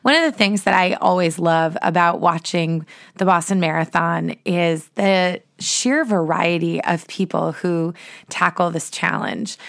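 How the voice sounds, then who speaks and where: Hoarse, gravelly woman podcast host, middle-aged, earnest and enthusiastic, lowish voice. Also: fairly bright tone. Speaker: podcast host and running coach. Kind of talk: solo podcast talk.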